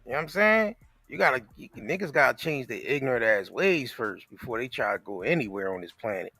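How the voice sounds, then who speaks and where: A man's voice: mid-range voice, expressive, a little fast, sharp tone, dry recording. An American man co-hosting a casual talk show, speaking in an emphatic, repetitive, slangy and profane way. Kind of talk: casual opinionated chat.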